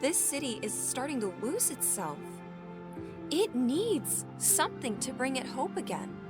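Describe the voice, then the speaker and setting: A young woman played by an actress with a fairly high voice, full of idealism. She speaks tenderly and earnestly, with playful teasing, in an audio drama set over prominent music. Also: big pitch swings, a little slow. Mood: hopeful, earnest. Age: young adult.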